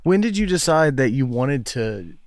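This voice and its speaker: A man's voice: mid-range voice, big pitch swings, moderate pace, darkish tone, dry recording. Dry-voiced man hosting an interview. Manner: encouraging, frequent "mm" backchannels. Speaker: interview host. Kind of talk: podcast interview.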